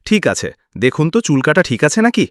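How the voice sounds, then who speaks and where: A man's voice: fairly high pitch, big pitch swings, fast talker, dry recording, studio quality. A man voicing a busy, polite village barber in a cartoon folk tale.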